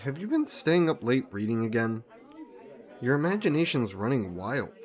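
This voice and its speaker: A man playing a soft yandere god who poses as a childhood friend, gentle, coaxing and evasive in an intimate roleplay audio drama. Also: mid-range voice, close to an everyday voice. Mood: casual, skeptical.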